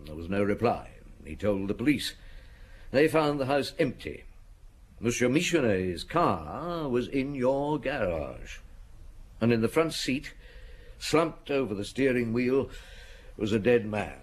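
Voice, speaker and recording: An actor playing a seasoned French police chief inspector in a radio drama, patient and dogged, speaking in a measured, probing, official way with thoughtful hums. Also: male voice, mid-range voice, sing-song. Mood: probing, methodical. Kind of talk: radio drama acting, reminiscing and interrogating.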